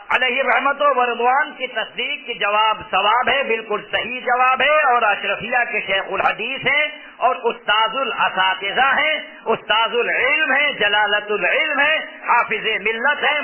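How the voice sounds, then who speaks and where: High-pitched male preacher, tinny sound. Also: measured pace, crowd noise. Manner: emphatic, repeats key phrases. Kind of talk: sermon explaining a fatwa to listeners. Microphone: loudspeaker microphone close to the mouth. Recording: tinny phone-like loudspeaker sound.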